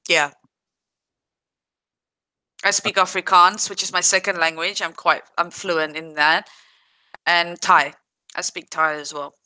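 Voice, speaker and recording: A South African woman hosting a livestream in loose, chatty talk, answering her viewers by name. Her voice is bright and crisp, a touch low for a woman, and it sits dry and close with no room around it. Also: fairly even intonation, a little slow, long pauses, no room sound. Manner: chatty, laughs easily, talks straight to viewers. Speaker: livestream host. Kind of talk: casual livestream chat reading viewer comments.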